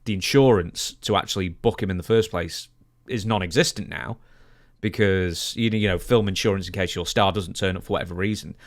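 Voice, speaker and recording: A man's voice: sits low, fairly quick, dry recording. A young man co-hosting a podcast, chatting casually about wrestling into a close studio microphone. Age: young adult. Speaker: podcast co-host. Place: small home studio. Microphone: broadcast microphone on boom arm, close.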